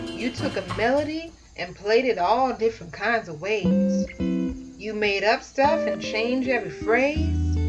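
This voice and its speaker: Female storyteller, higher register, unhurried and dramatic read-aloud for kids, prominent music underneath. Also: muffled recording. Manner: expressive, dramatic, drawn-out emphasis on key words. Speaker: storyteller and host. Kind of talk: read-aloud children's picture book narration.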